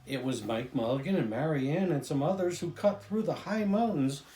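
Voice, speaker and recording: An older man reading a children's storybook aloud, drawing out key phrases with deliberate emphasis. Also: a little high, animated, mellow, echoey room, a little hiss or hum, poor audio. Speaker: storybook reader, grandfather.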